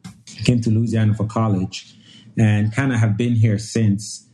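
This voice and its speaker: Nigeria se aaye tees ke dashak ke aadmi, dheemi naram aawaz, araam se baat karta hai. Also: male voice, on the low side, flat delivery, unhurried, dark tone. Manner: talks with frequent restarts and repeated phrases. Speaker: guest pulmonologist. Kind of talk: relaxed conversational storytelling.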